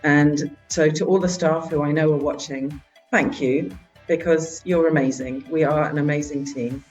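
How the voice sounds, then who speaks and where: A woman who heads a charity, presenting at a virtual annual meeting in a halting way, with frequent filler sounds. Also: low-pitched, steady tone, darkish tone, soft background music. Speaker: head of a charity organisation.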